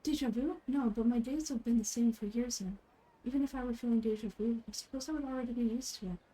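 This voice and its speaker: A woman voicing a gentle, frail and resigned young noblewoman in game dialogue, measured and formal. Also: on the high side, a little hiss or hum, lightly acted, rough recording. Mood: wistful. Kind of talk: amateur game dialogue voice-over.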